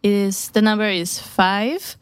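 A woman from Brazil co-hosting a casual radio show in a studio, bubbly and quick to laugh. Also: mid-range voice, steady tone, clear, resonant tone, no room sound. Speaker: radio co-host.

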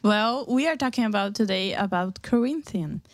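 A woman's voice: medium pitch, normal speed, dry recording, clean studio sound. A woman from Brazil with a Brazilian Portuguese accent, hosting a casual faith radio chat, often laughing and restarting her sentences. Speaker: radio show host.

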